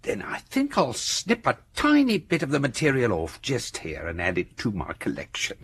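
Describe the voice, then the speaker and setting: An actor playing a discreet, deferential manservant in a British radio drama, formal, precise and methodical, with an English accent. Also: male voice, mid-range voice, wildly swooping pitch, moderate pace, fairly bright tone, husky, full character voice. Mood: shrewd, probing.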